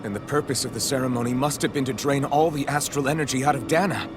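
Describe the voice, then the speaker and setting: An actor playing a determined young male swordsman hero who speaks earnestly and shouts battle cries. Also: mid-range voice, steady tone, fast talker, natural voice. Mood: serious. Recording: game audio with music behind.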